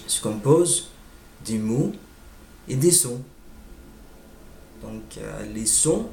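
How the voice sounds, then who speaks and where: A man with an American accent, a native English speaker, slowly talking through his thoughts and searching for words with frequent fillers. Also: mid-range voice, mellow, reverberant, slight background noise. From United States. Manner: hesitant, searching for words with many "euh" fillers. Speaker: language learner sharing his experience. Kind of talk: casual monologue.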